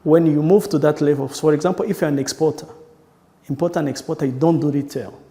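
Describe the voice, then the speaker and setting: A man with a Rwandan accent looks back on his family in an interview. His voice sits a little high for a man but sounds soft and mellow, and it trails into a long, ringing reverb. He talks haltingly and often restarts his sentences. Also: steady tone. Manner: halting, searching for words with frequent restarts. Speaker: entrepreneur. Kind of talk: personal recollection in an interview.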